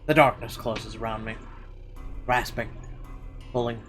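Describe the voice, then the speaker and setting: A man reading a horror visual novel aloud in an expressive, theatrical narration with weighty pauses. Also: medium pitch. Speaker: let's player. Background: game music.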